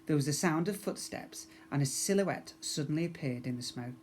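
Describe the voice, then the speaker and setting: A man's voice: fairly high pitch, normal speed, a little hiss or hum. A man narrating a children's story expressively, as a teacher reading to young pupils.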